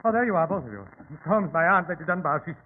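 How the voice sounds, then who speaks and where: An actor playing a nervous, agitated young gentleman in an old-time radio drama. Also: fairly high pitch, animated, rapid-fire, lightly acted. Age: young adult. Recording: tinny, noisy old radio recording.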